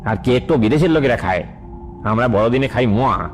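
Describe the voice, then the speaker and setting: Man playing an irritable village father, medium pitch. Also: expressive, a little fast, faint music behind, close to an everyday voice. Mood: grumbling. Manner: grumbling, exasperated, dismissive. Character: irritable, scolding village father, short on patience. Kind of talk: children's cartoon voice acting.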